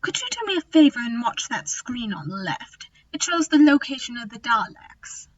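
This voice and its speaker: A woman's voice: fairly high pitch, sing-song, normal speed, muffled recording. A woman playing a clever, resolute alien Time Lord who looks thirty, composed and explanatory, in a fan audio drama. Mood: focused, matter-of-fact.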